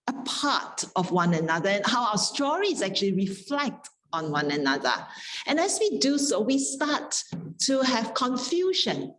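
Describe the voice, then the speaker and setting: Woman, very slow delivery, mid-pitched, contemplative, deliberate pauses, reflective panel talk. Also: low-quality recording. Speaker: panelist, Buddhist practitioner.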